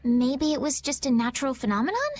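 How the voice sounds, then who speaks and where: An actress playing a polite, gentle, somewhat anxious young noblewoman in a video game. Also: sits high, sing-song, brisk, a little hiss or hum.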